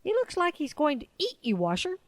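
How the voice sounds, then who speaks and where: Woman putting on a high, chirpy male crow. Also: wildly swooping pitch, brisk, dry recording. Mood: nervy. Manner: chirping, repeats himself.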